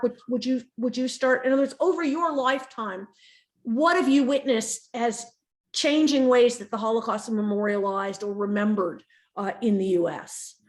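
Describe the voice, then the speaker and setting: A woman moderates a panel in a slightly high voice. She words things carefully and thoughtfully, as someone guiding a discussion.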